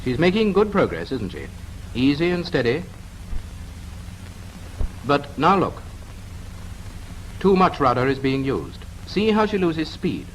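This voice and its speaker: A man's voice: a little high, wildly swooping pitch, measured pace, long pauses. A man with a British accent narrating a 1940s instructional film, addressing the viewer conversationally with questions. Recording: noisy 1940s film soundtrack.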